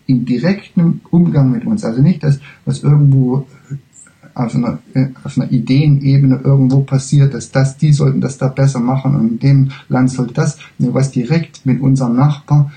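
A man giving a reflective spiritual lecture, halting and searching for words, with frequent restarts, in a language he says he does not speak fully fluently. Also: medium pitch, fairly even intonation, gravelly, mellow, poor audio.

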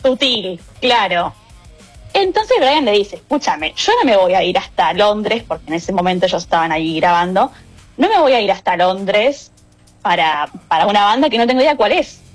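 Rioplatense woman, radio column, chatty, laughs a lot, normal pitch, some music low in the back. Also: normal speed. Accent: Rioplatense Spanish. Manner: lively, animated storyteller who laughs often. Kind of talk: casual radio chat, storytelling.

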